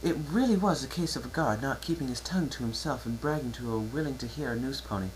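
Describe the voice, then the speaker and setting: Someone voicing a composed royal sister pony, lifted pitch. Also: fast, slight background noise, close to an everyday voice, low-quality recording. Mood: reassuring. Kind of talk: cartoon fan-fiction dramatic reading.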